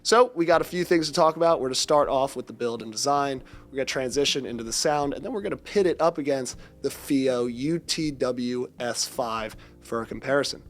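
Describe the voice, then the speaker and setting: A young man with a congested, stuffy voice, briskly presenting an upbeat scripted audio product review. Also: medium pitch, faint music behind.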